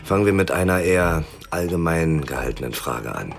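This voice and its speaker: An actor playing a blunt, hard-drinking, irritable private detective in a German radio drama, talking in a gruff, sardonic, colloquial way. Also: male voice, deep voice, animated, fairly quick. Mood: probing, matter-of-fact. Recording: music behind the voice.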